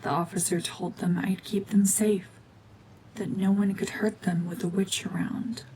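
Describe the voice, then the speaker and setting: A woman voicing a cold, mean, world-weary cyborg witch and war veteran in a hushed, breathy, brooding, deliberate ASMR drama monologue. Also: mid-range voice, fairly even intonation, slight background noise, natural voice, rough recording. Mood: bitter, brooding.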